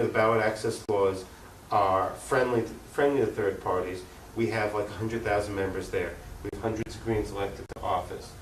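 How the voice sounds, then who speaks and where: An American man gives explanatory interview answers as a political activist. His mid-range voice is earnest and didactic, leaning on repetition for emphasis. He sounds set back from the microphone in an echoey room with faint noise. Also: medium pitch. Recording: roomy, distant mic, faint noise.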